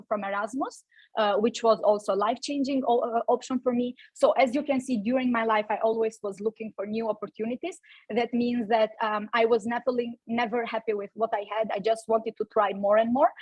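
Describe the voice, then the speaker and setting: A woman's voice: on the high side, a little mellow, slightly rough, no echo, poor audio. A young woman from Albania, giving a personal talk at a company event, with many fillers and restarts. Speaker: invited speaker.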